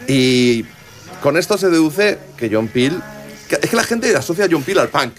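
A man's voice: on the high side, big pitch swings, moderate pace. A man with an accent from Spain chatting informally as a radio music presenter, telling stories in a digressive, jokey, enthusiastic way. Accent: Spanish from Spain. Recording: music behind the voice.

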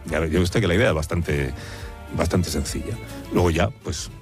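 Male radio host, very low pitch, conversational and playful, outdoors. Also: moderate pace, faint music behind. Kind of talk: on-location radio interview. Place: village square outdoors.

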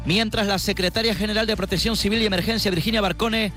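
High-voiced male news reporter. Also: fairly even intonation, quick pace, fairly bright tone, faint music behind.

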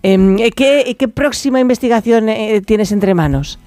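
A man's voice: high voice, a little slow, a little mellow, dry recording. A man hosting a conversational radio interview, effusive and often interjecting. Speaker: radio host.

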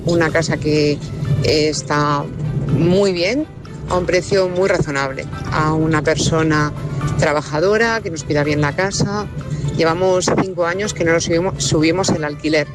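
A woman landlord leaving a measured voice message for a radio show. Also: deep voice, music in the background. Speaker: landlady, listener.

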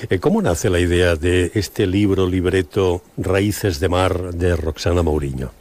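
Very deep-voiced friendly male interviewer. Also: unhurried, a little mellow, a little hiss or hum. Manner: affectionate, conversational, agreeing often. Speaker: radio interviewer host.

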